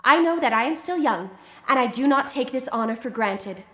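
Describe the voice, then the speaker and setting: An ambitious young woman inventor in a radio drama. She speaks with formal, controlled politeness in a high, bright voice. The sound is echoey, as from a live stage. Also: lively intonation, moderate pace. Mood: earnest, triumphant.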